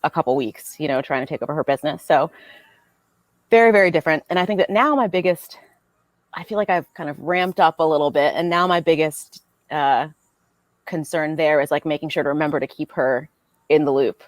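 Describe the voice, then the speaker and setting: A woman's voice: sits low, a little fast, dry recording. A young American woman talking casually as a podcast guest, chatty with lots of fillers. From United States. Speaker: guest business owner.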